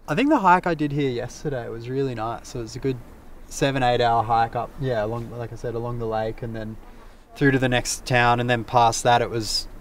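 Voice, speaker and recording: A young man answers in a relaxed, hesitant way, full of fillers. He stands a little off from the camera mic outdoors, with faint ambient noise around him. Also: medium pitch, a little fast, recorded from a distance. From Australia. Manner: laid-back, hesitant, many fillers. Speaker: interviewed traveler. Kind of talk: casual interview. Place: outdoors by a mountain lake.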